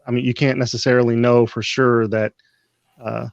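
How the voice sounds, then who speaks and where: A man's voice: mid-range voice, normal speed, gravelly, no room sound. Man hosting on a dull dry line. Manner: relaxed, with fillers. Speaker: host. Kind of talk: casual interview.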